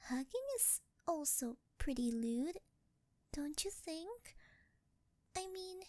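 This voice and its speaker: A young woman playing a sweet, shy, clingy angel turned succubus in an ASMR roleplay, speaking softly and breathily with a coy, halting, intimate delivery close to the mic. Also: high-pitched, sing-song, dry recording, exaggerated voice. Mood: shy coaxing. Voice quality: soft, breathy, girlish. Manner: coy, halting, intimate, often dropping into whispers. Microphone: close ASMR microphone, dry sound.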